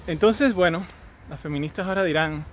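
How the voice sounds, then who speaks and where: A man's voice: on the high side, a little fast. A man in his thirties with a Cuban Spanish accent, chatting casually with joking asides while walking down a residential street, recorded on a phone held on a selfie stick. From Cuba. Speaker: vlogger. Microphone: phone on a selfie stick at arm's length. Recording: outdoor street noise. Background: street traffic and outdoor ambience.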